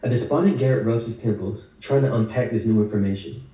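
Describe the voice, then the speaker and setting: Male stage-direction narrator, scripted read, very relaxed dark tone, far from the mic. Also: medium pitch, fast talker. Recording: echoey room, distant video call mic.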